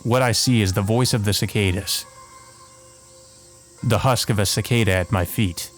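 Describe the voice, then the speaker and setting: Let's play host, male, lowish register, narrating a visual novel with casual asides over game music. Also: moderate pace. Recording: music playing behind the voice.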